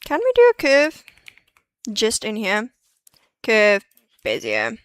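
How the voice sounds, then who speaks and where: A woman's voice: fairly high pitch, wildly swooping pitch, slow, smooth, dry recording. A woman streaming a 3D modeling session, chatting and muttering to herself as she works through each step. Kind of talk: live-stream tutorial, thinking aloud.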